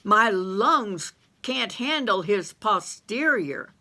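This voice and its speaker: A woman voicing a squeamish, panicky grown man who whines and yells in a shrill voice. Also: expressive, extremely slow, exaggerated voice. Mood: frantic. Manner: shrill, frantic, yelling.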